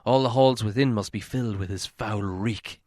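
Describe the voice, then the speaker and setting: A man's voice: fairly low pitch, moderate pace, a little mellow, no echo, clean studio sound, natural voice. A man voicing a gloomy, cautious old male dwarf in a storybook reading. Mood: gloomy, wary.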